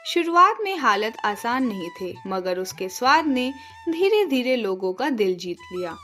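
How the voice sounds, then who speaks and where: A woman's voice: fairly high pitch, big pitch swings, a little slow, a bit bright. A woman narrating a scripted children's story as a storyteller. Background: background music.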